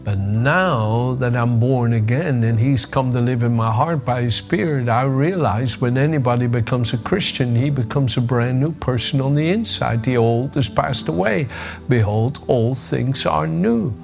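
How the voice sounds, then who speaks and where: A man's voice: medium pitch, unhurried, gravelly, darkish tone, soft background music. Dutch-accented older man preaching. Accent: Dutch with a bit of American. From Netherlands. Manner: conversational, searching for words, frequent restarts. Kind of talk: devotional sermon.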